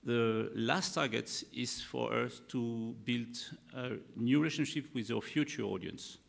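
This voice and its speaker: A man in his fifties with a French accent, a native French speaker presenting on a conference panel in halting English, searching for words. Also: medium pitch, creaky. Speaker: media executive, panel speaker. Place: conference hall, indoors. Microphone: table gooseneck microphone. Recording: echoey hall, panel microphone.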